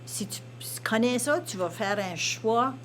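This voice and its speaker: A woman's voice: fairly high pitch, lively intonation, measured pace, a little hiss or hum. Earnest woman explaining a point. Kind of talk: explanatory conversation.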